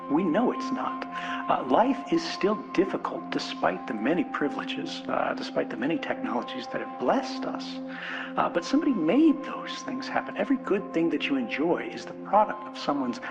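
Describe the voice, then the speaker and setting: A man's voice: on the high side, big pitch swings, normal speed, lo-fi sound. A man giving an earnest, reflective political keynote, building points with repetition and rhetorical questions. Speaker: conference speaker. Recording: music bed behind speech. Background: music.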